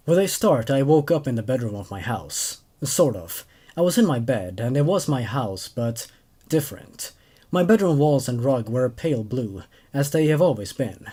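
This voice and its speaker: A young man narrating a story as host, reading expressively and in a measured way with dramatic pauses. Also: medium pitch, dark tone. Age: young adult. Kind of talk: story narration with casual commentary.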